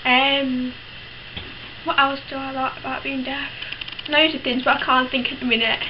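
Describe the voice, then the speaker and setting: High-voiced chatty young woman. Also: level tone, moderate pace. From United Kingdom. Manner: chatty, frank, many fillers and restarts. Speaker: vlogger. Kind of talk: casual talk to camera. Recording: dull lo-fi sound with clear background hiss.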